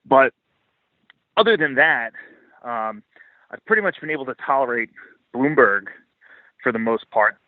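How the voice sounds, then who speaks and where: A man's voice: medium pitch, expressive, unhurried, long pauses, dry recording, phone-quality sound. A man speaking as a podcast guest, giving casual, opinionated financial commentary in blunt, informal language with swearing.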